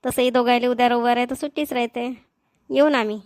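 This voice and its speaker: A friendly, inquisitive village neighbour, played by a woman, for a homespun cartoon comedy. The voice is high and closely recorded with a dry sound, chatty and questioning, in Vidarbha Marathi. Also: fairly even intonation, fast, a little mellow, clear, resonant tone, no echo, natural voice. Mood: curious, agreeable. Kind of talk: amateur cartoon comedy dialogue.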